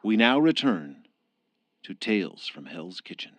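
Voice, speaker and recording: Deep-voiced male radio drama narrator. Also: very animated, unhurried, no echo. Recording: music bed under narration.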